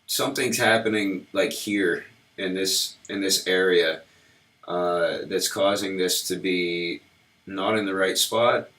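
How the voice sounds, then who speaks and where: A man walking viewers through his website code live, talking in halting starts while slightly sick and losing his voice. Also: low voice, steady tone, sharp tone. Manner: halting, lots of ums and restarts while working. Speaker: web developer streaming his work. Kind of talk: casual live-coding walkthrough.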